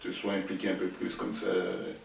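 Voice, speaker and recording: A man co-hosts a casual podcast, his talk easygoing and hesitant with lots of fillers. His voice is very low and gravelly, with a flat, even delivery. He sounds set back from the mic in an echoey room with a faint hiss. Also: a little fast, far from the mic. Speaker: podcast co-host.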